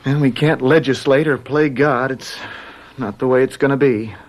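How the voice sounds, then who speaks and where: An actor playing an earnest, plain-spoken young law student in a radio drama, with direct, colloquial speech. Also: male voice, mid-range voice, fairly even intonation, normal speed, close to an everyday voice. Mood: sober. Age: young adult. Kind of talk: American radio drama acting. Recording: radio drama with clear background noise.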